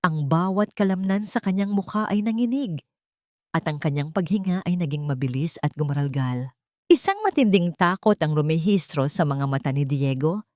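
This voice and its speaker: A woman's voice: lowish voice, warm tone, no room sound, studio quality. A woman narrator reading a scripted, dramatic audio story.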